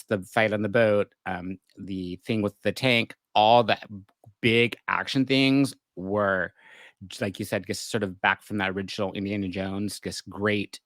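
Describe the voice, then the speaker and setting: A man giving relaxed, hesitant opinions full of fillers as a podcast panelist. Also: fairly low pitch, dry recording. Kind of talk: casual podcast chat.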